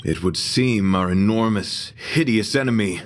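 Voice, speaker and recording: A man's voice: lowish voice, a little slow, faint music behind, close to an everyday voice. A man playing a gallant, solemn knight in a video game, with formal, florid, grandiose diction. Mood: measured, formal.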